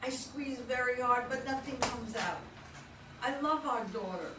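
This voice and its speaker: A woman's voice: on the high side, lightly acted. A woman reading a frightened, pleading young wife. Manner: pleading, slow and soft. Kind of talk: readers theatre play reading. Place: stage in a theatre. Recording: roomy, distant, noisy.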